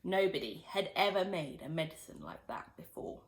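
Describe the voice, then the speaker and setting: A woman teacher reads a children's book aloud in a storytelling voice. Her pitch swings widely as she leans into words with playful emphasis. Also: moderate pace, poor audio. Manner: expressive storytelling, lively emphasis.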